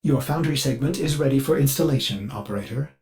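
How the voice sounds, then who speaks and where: An actor playing a fussy, eager-to-please artificial mind of a spaceship in a video game, with an overly polite, theatrical and halting delivery. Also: male voice, medium pitch, darkish tone, lightly acted. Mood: helpful, chipper. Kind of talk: video game character dialogue.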